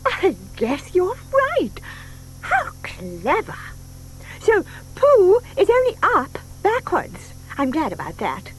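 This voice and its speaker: A woman's voice: very high-pitched, huge pitch swings, slow, heavily acted, low-quality recording. An actress playing a sweet, trusting older lady, hesitant, polite and easily puzzled, in a children's audio drama. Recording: faint hiss from old cassette tape.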